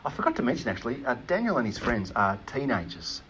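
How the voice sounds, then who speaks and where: A man preaching a sermon in an earnest, emphatic way, often pausing with hesitations. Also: mid-range voice, sing-song, a bit bright, slight background noise, poor audio.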